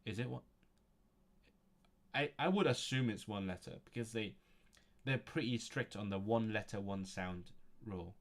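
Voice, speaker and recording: A man's voice: on the low side, normal speed. Man musing aloud over his studies. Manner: thinking aloud, musing to himself with frequent chuckles. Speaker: language learner streaming his study session. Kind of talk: casual livestream, reading a textbook aloud and commenting.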